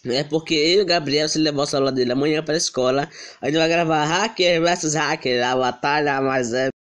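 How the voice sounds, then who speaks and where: A teenage boy, hoarse from a cold, giving slangy, giggly commentary on his gaming video at home. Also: fairly high pitch, a little fast, a bit bright. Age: teens. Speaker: gaming channel host. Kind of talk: casual gameplay commentary.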